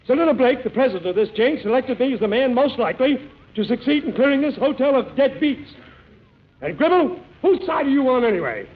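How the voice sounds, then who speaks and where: An actor playing a blustering, self-important hotel manager in a 1940s radio comedy, bossy and pompous. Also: male voice, very high-pitched, sing-song, brisk. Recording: dull 1940s radio broadcast.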